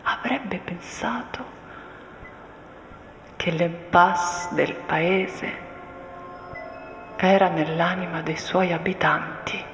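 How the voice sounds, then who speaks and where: A woman performing a solemn, deliberate dramatic monologue of literary text, often sinking into breathy whispers. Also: lowish voice. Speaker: stage actress performing a monologue. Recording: echoey, long reverb, music underneath. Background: music and soundscape.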